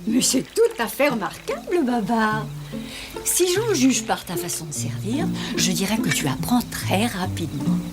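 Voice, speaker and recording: A woman voicing a kindly old lady in a French cartoon, refined, gentle and doting as she mentors a youngster. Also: fairly high pitch, big pitch swings, measured pace, over loud music. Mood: encouraging. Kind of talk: French-dubbed cartoon acting.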